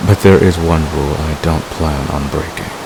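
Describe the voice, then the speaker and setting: A man playing a devoted, manipulative villain in a romantic ASMR roleplay, speaking with deliberate, possessive intensity. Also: fairly even intonation. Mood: menacing, possessive. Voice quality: very deep, gravelly. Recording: clear background hiss.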